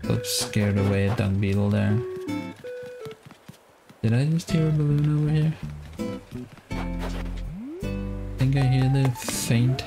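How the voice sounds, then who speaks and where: Slow-talking Danish man streaming a game. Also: medium pitch, lively intonation. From Denmark. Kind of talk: casual gameplay commentary. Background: guitar music.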